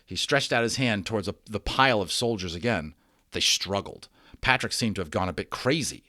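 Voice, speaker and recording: Low-pitched, very animated male storyteller. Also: fairly quick, no echo, studio quality. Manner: theatrical, with informal asides to young listeners. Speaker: storybook reader. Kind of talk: dramatic read-aloud narration of a children's novel.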